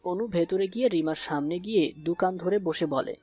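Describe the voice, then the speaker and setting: A man's voice: sits high, normal speed, dark tone, husky, no room sound. A man reading scripted narration for a story. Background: music.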